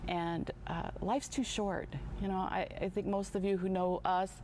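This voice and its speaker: A woman's voice: medium pitch, level tone, a little mellow, slight background noise. Earnest woman in her sixties. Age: 60s. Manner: earnest, halting with fillers and restarts. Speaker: show co-host. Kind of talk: casual chat.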